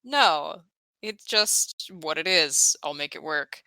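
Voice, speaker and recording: A woman's voice: deep voice, expressive, takes it slowly, sharp tone, clear, resonant tone. A woman chatting casually as a player in a tabletop role-playing game, informally musing aloud about what to do.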